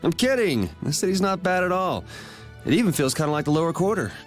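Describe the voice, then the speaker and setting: A man's voice: high-pitched, very animated, fast talker. A man voicing a cool, sardonic young man in a video game, with a dry, laid-back wit. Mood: wry. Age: young adult. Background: game music.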